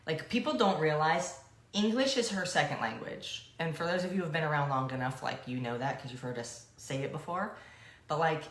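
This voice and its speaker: A woman with a low voice co-hosts a casual talk show in an echoey room. She rambles playfully, wanders off on tangents and pokes fun at herself. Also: a little fast. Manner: playful, self-deprecating, digressive. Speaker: co-host of a coaching show.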